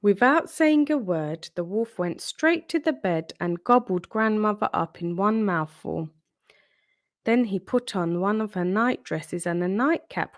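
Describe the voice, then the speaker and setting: Very lively woman reading a storybook. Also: medium pitch, no echo, studio quality. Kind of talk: expressive children's storybook narration.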